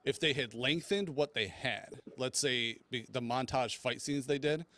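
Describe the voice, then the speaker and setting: A male podcast host with a mid-pitched voice in a very dry recording. He chats informally and rambles a little as he steers a casual debate about films. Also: a little slow, pushed and bright, dry recording. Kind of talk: casual movie debate chat.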